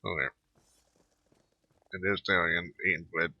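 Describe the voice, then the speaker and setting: A man's voice: low-pitched, steady tone, a little slow, slightly rough. A man giving casual, offhand commentary as he plays through a video game. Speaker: gamer, let's-play host. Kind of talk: casual game playthrough commentary, reading some dialogue aloud. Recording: dull, band-limited close dry microphone.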